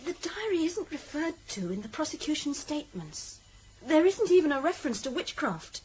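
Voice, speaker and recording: A high, very animated British voice a resolute woman probation officer in a radio play. She is brisk and direct, firing questions and giving orders over faint background noise. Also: rough recording. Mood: probing. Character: determined, outspoken woman probation officer planning a rescue. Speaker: actor in a radio drama. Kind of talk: British radio drama acting.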